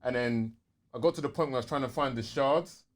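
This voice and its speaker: A man with a London accent co-hosting a gaming podcast in casual, slangy, animated chat. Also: medium pitch, fast. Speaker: podcast co-host.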